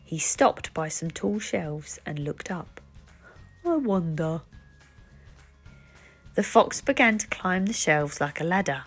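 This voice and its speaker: Female children's read-aloud narrator, lowish and slightly husky, expressive and deliberate, faint music underneath. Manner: animated, deliberate. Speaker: children's book reader.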